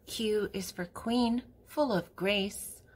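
Older female host for toddler storytime, slightly rough-edged voice, unhurried delivery, simple phrasing. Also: mid-range voice. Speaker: children's book reader and channel host. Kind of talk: read-aloud of a children's picture book.

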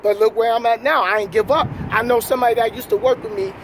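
A man's voice: very high voice, fairly even intonation, fast talker. A man giving an emphatic, slangy motivational chat as a vlog host, repeating his points. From New York City. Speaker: trucker vlogger, host. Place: outdoors beside a parked semi truck. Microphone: camera at arm's length. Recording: loud outdoor wind and vehicle noise.

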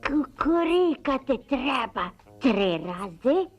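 Old cartoon witch grandmother: very high-pitched, animated, lonely and grumbling. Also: dull audio, heavily acted. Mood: pondering, secretive. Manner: theatrical, slow, swooping delivery. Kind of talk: Soviet puppet cartoon voice acting. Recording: old Soviet film soundtrack with music.

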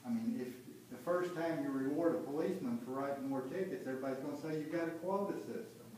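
A man's voice: mid-range voice, fast, darkish tone, slight background noise. An older man, an advisor giving explanatory remarks at a council meeting. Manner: explanatory, conversational. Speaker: university municipal advisor. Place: council chamber, indoors. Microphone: far camera. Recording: echoey room from far off.

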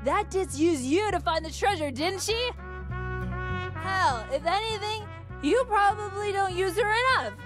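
A woman's voice: extremely high pitch, lively intonation, measured pace, over music, voice pushed up. A woman voicing a brash, scornful, drunken young woman in a visual novel, scolding bluntly. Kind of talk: dramatic visual novel read-aloud.

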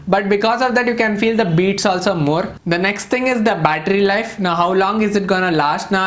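A man in his twenties with an Indian English accent, giving a casual gadget review with informal, halting, repetitive explanations. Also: sits high, fairly bright tone. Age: 20s. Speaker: product reviewer.

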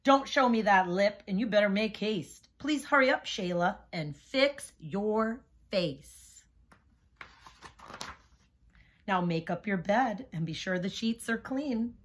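A woman's voice: mid-range voice, lively intonation, unhurried, few pauses, slightly rough. A woman voicing a firm but encouraging storybook mother who gives orders and coaxes. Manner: giving orders, coaxing. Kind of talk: picture-book character reading.

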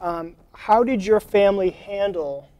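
A man's voice: high-pitched, measured pace, dark tone, clean tone. A young man hosting a student talk show in a small college TV studio, asking friendly, prepared questions with some "um"s. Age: young adult. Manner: friendly, scripted questions with fillers. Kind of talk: studio interview. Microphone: lapel mic.

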